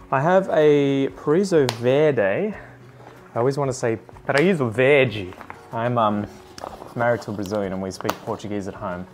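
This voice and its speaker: A man in his thirties with an Australian accent, chatting casually and thinking aloud as he does plant chores in his lounge room. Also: medium pitch. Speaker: plant vlogger. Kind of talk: casual chat while doing chores. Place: lounge room full of houseplants.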